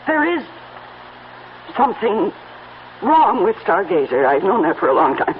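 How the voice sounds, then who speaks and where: A woman's voice: medium pitch, very animated, unhurried. Stubborn young woman, tinny old radio. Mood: earnest, troubled. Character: grieving, stubborn young woman guarding her troubled horse. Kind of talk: old-time radio drama acting. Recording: tinny band-limited old radio recording with hiss.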